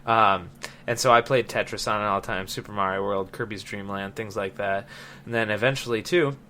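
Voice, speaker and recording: Male podcast host, late 20s, lowish bright voice, casual conversational delivery, slight background noise. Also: steady tone, fairly quick. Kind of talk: casual chat about gaming.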